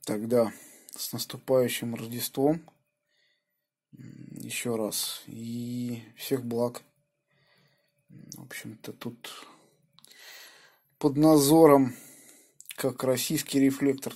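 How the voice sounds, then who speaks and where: A man's voice: mid-range voice, fairly even intonation, takes it slowly, long pauses, a little mellow, husky. A man chatting casually as an amateur radio operator, spelling call signs with names. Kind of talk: casual ham radio contact. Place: indoors at a home radio desk.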